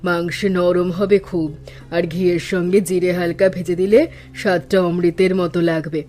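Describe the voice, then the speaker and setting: Man doing a high, flat-toned elderly cartoon mother-in-law, gentle and wise, light music under it. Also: moderate pace, lightly acted. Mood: gentle, advising. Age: older. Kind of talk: cartoon voice acting.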